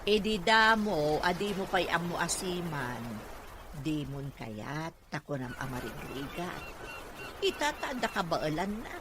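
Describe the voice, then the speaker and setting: A woman's voice: fairly low pitch, sing-song, measured pace, noisy background. A woman playing a protective, outspoken older mother in an Ilocano audio drama. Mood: reproachful. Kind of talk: Ilocano radio-style drama acting.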